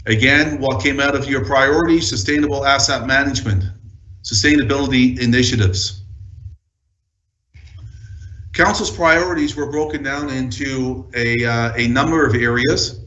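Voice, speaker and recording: Male, mid-pitched official, measured list-like delivery, formal council presentation, boxy echoing room sound. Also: sharp tone, dull audio.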